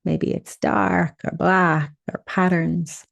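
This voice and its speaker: A woman leading a guided meditation, speaking in a soothing, unhurried way with gentle instructions and pauses. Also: on the low side, level tone, vocal fry, lo-fi sound.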